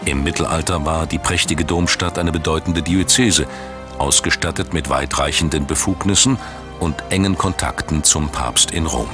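Male documentary narrator, very deep, measured and dramatic, music bed underneath. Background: background music.

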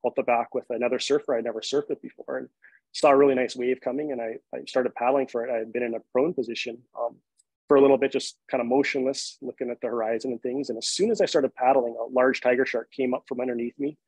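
A man in his forties from Hawaii tells a personal story in a calm, steady way, with the odd "um". His voice is a bit husky. The video call sound is dry and close. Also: mid-range voice, fast, no echo, rough recording. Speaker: guest, shark activist.

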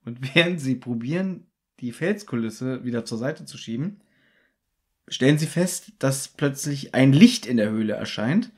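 A man's voice: medium pitch, measured pace. A man co-hosting a podcast, casually retelling and discussing the plot of a radio play in detail. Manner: retells plot in detail, animated when joking. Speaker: podcast co-host.

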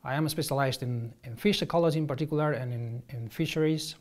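A man, answering interview questions as a marine ecologist, halting and restarting often. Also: mid-range voice. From Spain. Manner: halting, many restarts and ums, gestures while explaining. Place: office with shelves, indoors. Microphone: lapel.

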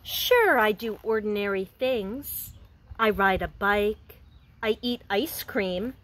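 A woman in her thirties, a preschool teacher, reading a picture book aloud to young children outdoors in a gentle, expressive voice. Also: medium pitch, takes it slowly. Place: outdoors in front of a house.

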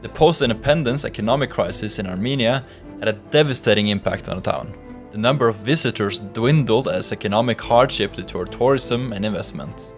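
A young man reading a scripted history voice-over for his vlog in a measured, explanatory way. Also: lowish voice, faint music behind. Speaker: vlog narrator.

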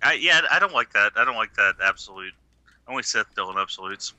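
A man's voice: fairly low pitch, expressive, fast talker, over a noisy crowd, low-quality recording. A man chatting casually with friends as a podcast panelist. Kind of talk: casual chat.

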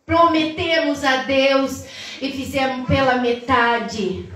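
A woman's voice: high voice, takes it slowly, distant mic. Fervent woman preacher in echoey hall. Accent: Brazilian Portuguese. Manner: fervent, sing-song cadence rising to shouts. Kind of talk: Pentecostal sermon. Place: large tiled church hall, indoors. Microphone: far camera across the hall.

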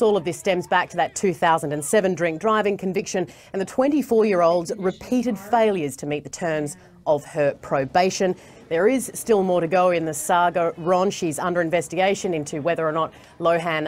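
Australian woman, thirties, TV correspondent, lowish pitch, live report and scripted read. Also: a little mellow, a little hiss or hum. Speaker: TV news foreign correspondent. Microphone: lapel mic.

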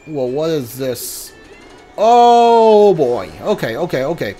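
Streamer with a mid-pitched voice thinking out loud while gaming, lively up and down, faint music behind. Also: slow pace. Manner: thinking aloud, muttering reactions. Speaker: video game streamer. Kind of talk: casual playthrough commentary. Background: game music.

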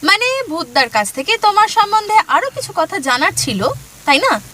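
একজন অভিনেত্রীর করা চঞ্চল নতুন বউ. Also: female voice, very high-pitched, sing-song, moderate pace, sharp tone, a little hiss or hum, exaggerated voice. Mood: coy. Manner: playful, coy. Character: young newlywed wife, coy and teasing. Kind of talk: radio play acting.

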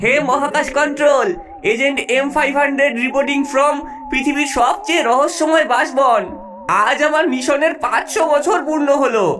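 A woman voicing a centuries-old fish-ghost alien secret agent in a cartoon, excitable and soft-hearted, dramatically exclaiming and pleading. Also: high voice, moderate pace, over music, natural voice. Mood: reporting, wistful. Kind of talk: animated cartoon voice acting.

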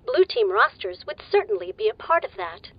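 A woman voicing a polite female base computer AI who speaks in a calm, formal, deadpan way, a little patronizing. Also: high-pitched, normal speed, no echo, lo-fi sound.

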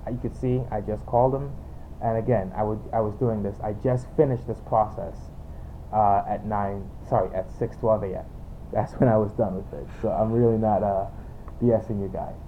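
A man with a Trinidadian accent giving an informal, halting software tutorial, explaining off the cuff and repeating himself. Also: lowish voice, vocal fry. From Trinidad and Tobago. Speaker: tutorial presenter. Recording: tinny phone-like home recording, background noise.

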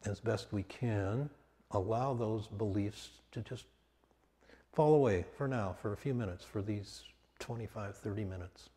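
A man leading a guided meditation as a teacher, speaking calmly and off the cuff in short, measured phrases with long pauses. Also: lowish voice. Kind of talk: guided meditation, spoken slowly and unscripted. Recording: long reverberant hall sound.

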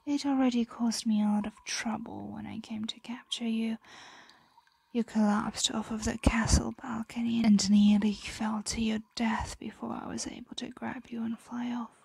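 A woman performs a huge ancient female dragon in an ASMR roleplay, possessive and teasing. Her whisper is close and dry, with a creaky rasp at its edges. She lingers over her words, doting and predatory at once. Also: a little high, little pitch movement, normal speed, a little mellow, no echo, full character voice. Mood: soothing, condescending. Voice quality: breathy whisper.

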